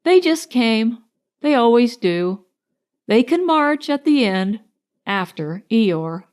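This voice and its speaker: A woman acts out a male storybook rabbit with a dry, offhand air. He sounds careless and a little bossy, in a fairly high voice. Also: big pitch swings, a little mellow, smooth. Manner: brisk, careless.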